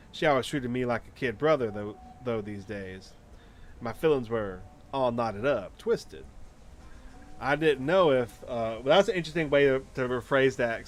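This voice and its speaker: Male let's player over noisy ambience. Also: medium pitch. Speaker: video game let's player. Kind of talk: reading visual novel aloud with casual commentary. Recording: clear background noise and ambience.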